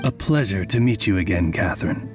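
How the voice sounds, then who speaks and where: A man voicing a courteous, gentlemanly talking raven familiar in a video game, suave and refined. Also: low voice, animated, brisk. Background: game music.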